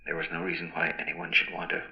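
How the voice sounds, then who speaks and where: An actor playing a subdued, grieving widower giving halting, somber testimony in a 1940s radio drama. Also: male voice, low voice, monotone. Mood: subdued grief. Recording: dull old radio recording.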